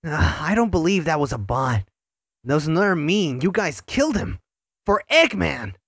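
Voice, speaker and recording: A man's voice: fairly high pitch, very animated, normal speed, a bit bright, breathy edge, no echo, clean studio sound, exaggerated voice. A man voicing a young male hedgehog hero who feels betrayed by his friends and speaks coldly and resentfully. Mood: bitter. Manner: cold, resentful.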